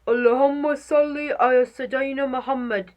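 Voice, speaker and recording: A woman voicing a huge, gentle male storybook elephant who explains earnestly and apologetically, short of breath. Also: high voice, steady tone, normal speed, natural voice. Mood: apologetic, winded. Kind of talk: children's storybook character voice.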